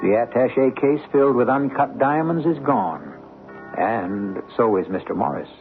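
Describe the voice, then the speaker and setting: Animated male radio mystery host. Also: mid-range voice. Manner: conversational, rhetorical, measured storyteller. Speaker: host and narrator. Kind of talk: scripted radio drama narration. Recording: thin phone-like radio recording, music behind.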